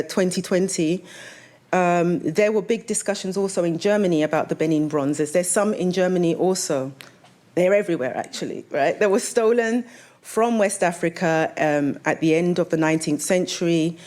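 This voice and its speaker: Lower-voiced woman talking on a panel. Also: darkish tone. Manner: conversational, puts questions to the audience. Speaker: novelist speaking as a panelist. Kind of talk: panel talk about her own novel.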